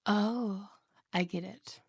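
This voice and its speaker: A jealous, possessive young wife, played by a woman in an ASMR roleplay, purring her words very slowly with a gravelly, creaky edge. She slips into hushed whispers and sounds sweet, yet quietly threatening, close to a dry, dead microphone. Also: medium pitch, fairly even intonation, no echo, exaggerated voice. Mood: quietly menacing. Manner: soft, slow, whispery, with giggles.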